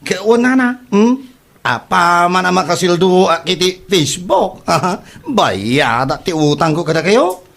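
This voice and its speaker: A man's voice: high-pitched, very animated, creaky, a bit bright, heavily acted. A man with an Ilocano accent playing a boastful, broke braggart in a comedy audio drama, stammering through his excuses. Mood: boastful, wheedling. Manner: halting, hesitant, full of drawn-out stammers.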